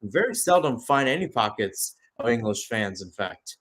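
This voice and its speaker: A man's voice: mid-range voice, sing-song, normal speed, fairly bright tone. A man giving live radio-style football commentary as a play-by-play caller, mixing match calls with chatty, conversational asides.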